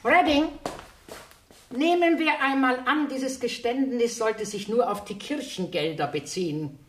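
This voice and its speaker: A woman's voice: on the high side, unhurried, echoey room, slight background noise, close to an everyday voice. An actress playing a persistent, shrewd older woman detective in a radio mystery, pointed and emphatic. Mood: probing. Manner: probing, pointed, theatrically emphatic. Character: shrewd, persistent older female amateur sleuth. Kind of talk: German radio crime drama acting.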